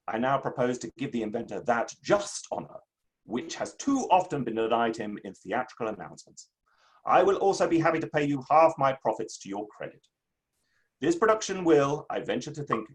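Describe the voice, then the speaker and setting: A man's voice: mid-range voice, big pitch swings, a little fast, rough recording. A man in a dramatic play reading, playing a proud, aggrieved Victorian writer with a formal, emphatic delivery. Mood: formal, self-satisfied.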